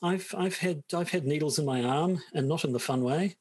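A man's voice: on the high side, normal speed, a little mellow, slightly rough. A middle-aged man with a New Zealand accent, co-hosting a podcast in casual chat and often stumbling over and repeating his words as he thinks aloud. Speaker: podcast co-host.

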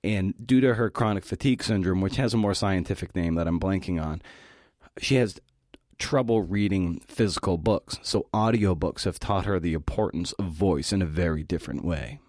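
Male podcast host, deep voice, very dry sound, conversational scripted talk with wry, keen asides. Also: normal speed, darkish tone, no echo.

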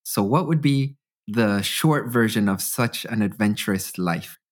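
A man's voice: on the low side, a little slow. A man from Canada hosting a podcast, asking friendly, curious questions. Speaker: podcast host. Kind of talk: casual interview.

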